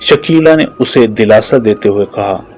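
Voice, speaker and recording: A man's voice: lowish voice, unhurried, a little mellow, faint music behind. A man narrating a scripted moral story for a children's cartoon.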